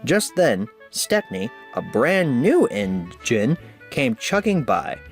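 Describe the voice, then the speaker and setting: A man narrating a children's storybook aloud with deliberate phrasing. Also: medium pitch, very animated, faint music behind.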